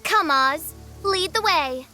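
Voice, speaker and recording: Teenage self-styled gothic princess, high voice, grandiose archaic declamation, theatrical, anime game. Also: female voice, animated, slow, sharp tone, faint music behind, full character voice. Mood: imperious.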